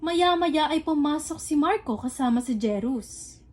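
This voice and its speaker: Young woman narrating a radio drama from a script, high voice. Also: expressive, a little slow, darkish tone, faint music behind.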